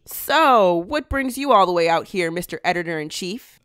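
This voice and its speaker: A woman's voice: on the low side, lively intonation, normal speed, fairly bright tone, clear, resonant tone, no echo. A woman playing a brash, proud, casually blunt woman in an anime fan drama, with a teasing manner.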